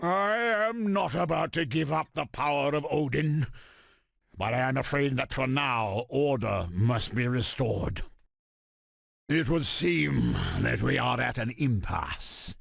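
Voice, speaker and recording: An arrogant, armored supervillain tyrant from a video game. The voice is heavily gravelled and husky, with grandiose, commanding delivery that swings widely in pitch. Every phrase is declaimed with pompous self-importance. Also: male voice, fairly bright tone, no echo, clean studio sound, full character voice. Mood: imperious. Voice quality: gravelly, rasping. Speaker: video game villain character. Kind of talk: theatrical video game villain voice clips.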